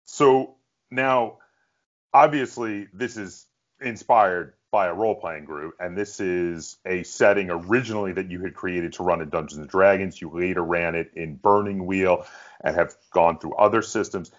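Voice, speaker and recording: A man's voice: deep voice, normal speed, highs cut off. A man hosting a casual interview, asking wordy, roundabout questions. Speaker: interviewer, show host.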